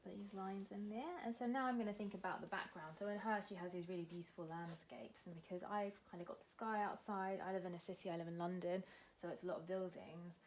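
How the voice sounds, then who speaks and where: Soft-spoken New woman teaching art. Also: fairly even intonation, quick pace, hardly any pauses, a little hiss or hum. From New Zealand. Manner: casual, many fillers, talks while drawing, often drops to a soft low voice. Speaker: art tutor. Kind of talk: art demonstration tutorial.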